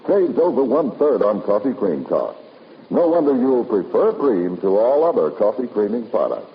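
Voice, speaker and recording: A man announcing a 1950s radio commercial with a polished, emphatic sales delivery. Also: lowish voice. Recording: muffled vintage radio recording with noise.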